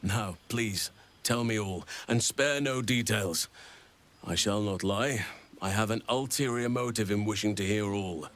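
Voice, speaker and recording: An actor playing a gentle, wise, warm-hearted druid in a fantasy video game, speaking in formal, courtly, unhurried phrases. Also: male voice, fairly low pitch. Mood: playfully earnest. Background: music.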